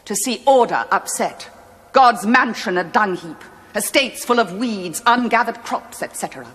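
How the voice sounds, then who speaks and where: An actress playing an imperious, middle-aged aristocratic English widow in a television play, with an upper-class accent and clipped, fretful delivery. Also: female voice, mid-range voice, animated, moderate pace, a bit bright, natural voice. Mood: haughty, fretful. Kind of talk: theatrical television play dialogue. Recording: echoey stone hall, faint noise.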